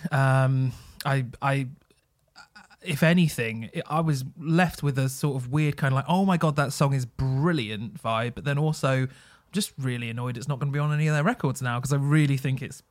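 A man's voice: medium pitch, normal speed. A man co-hosting a music podcast, chatting casually with lots of ums and dry jokes. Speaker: podcast co-host. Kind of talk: casual chat about music.

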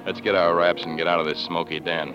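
An actor playing a suave upper-class young American suitor, romantic and gently teasing, in 1940s radio drama style. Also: male voice, low-pitched, flat delivery, fast talker, lightly acted. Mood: charming. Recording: muffled vintage radio recording with music.

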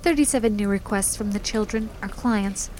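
A woman's voice: medium pitch, normal speed, darkish tone, a little hiss or hum, lightly acted. An actress playing a dry, curt, overworked female office assistant in an audio drama.

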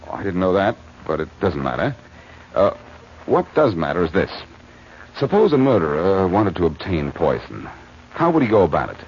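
An actor playing a smooth, wisecracking amateur detective with an American accent in a 1940s-style radio drama, brisk and flirtatious. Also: male voice, low voice, sing-song. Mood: businesslike. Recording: muffled, noisy old radio recording.

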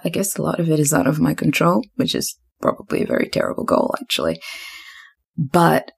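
A woman's voice: low-pitched, creaky, slightly rough. A young woman from Zimbabwe and New Zealand hosting a solo podcast, rambling and thinking aloud with lots of fillers. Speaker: podcast host.

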